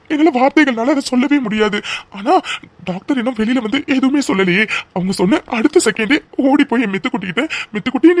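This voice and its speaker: A woman playing an excitable, doting young husband in an emotional audio drama, gushing and stammering breathlessly. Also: fairly high pitch, no echo. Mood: eager, dreamy. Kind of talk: emotional serial-style audio drama acting.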